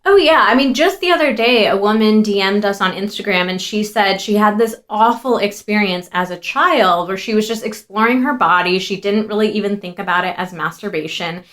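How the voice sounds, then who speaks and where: A woman in her thirties co-hosting a podcast, talking earnestly and warmly about shame, with many "like" and "you know" fillers. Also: mid-range voice, fairly quick, hardly any pauses. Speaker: podcast co-host, sex therapist. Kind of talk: casual podcast chat.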